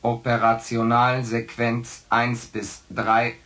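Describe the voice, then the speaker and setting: An actor playing a pedantic computer co-pilot in a science fiction radio play, speaking in stilted, formal machine phrasing. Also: male voice, mid-range voice, completely flat delivery, slow pace, a bit bright, far from the mic. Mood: matter-of-fact. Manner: formal, stilted, inserts "is equal to" mid-sentence.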